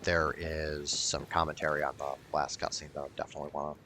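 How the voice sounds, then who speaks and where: A man's voice: rumbling low, level tone, fast, sharp tone, a little hiss or hum. A man giving casual, rambling gameplay commentary in a blunt, sweary way. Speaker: gaming video creator.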